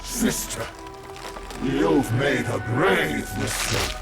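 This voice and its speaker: Wrathful demigod tyrant, slow theatrical delivery, swooping pitch, video game villain, loud music behind. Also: male voice, expressive, creaky, full character voice. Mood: bitter, threatening. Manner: theatrical, menacing, shouting. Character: wrathful male demigod, tyrant villain bent on destroying humanity. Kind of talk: video game cutscene acting.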